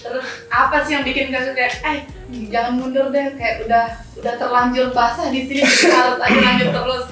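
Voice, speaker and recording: A woman's voice: sits high, flat delivery, brisk, pushed and bright. A young woman, moderating an interview with casual, colloquial questions. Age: young adult. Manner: informal, colloquial. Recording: very echoey room, distant mic, music behind.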